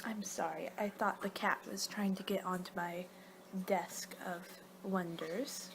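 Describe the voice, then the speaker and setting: A woman performing a playful ASMR roleplay, rambling along in soft speech and whispers as if losing her train of thought. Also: on the low side, fairly even intonation, unhurried. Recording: clear background noise.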